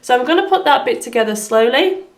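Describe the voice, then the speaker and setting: A woman with a slightly hoarse voice giving step-by-step piano instruction as the host of a tutorial. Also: sits high, expressive, fast. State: slightly hoarse from having been unwell. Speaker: piano teacher and video host. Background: piano playing.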